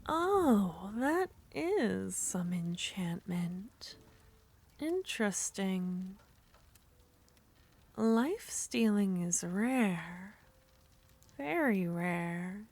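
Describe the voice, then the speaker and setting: A woman voicing a seductive, possessive witch, speaking softly in half whispers with a teasing manner in an ASMR roleplay. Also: medium pitch, big pitch swings, very slow, pauses often.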